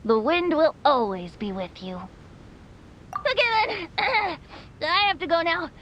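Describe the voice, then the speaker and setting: A woman's voice: extremely high pitch, lively intonation, slow pace, a bit bright, a little hiss or hum, heavily acted. A woman voicing a breezy, boyish, easygoing bard in a video game, light-voiced, hesitant and playful, congested with allergies. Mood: gentle, encouraging. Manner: hesitant, airy, playful, throat-clearing. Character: boyish, breezy, easygoing wandering bard, secretly a wind spirit. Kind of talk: video game character acting.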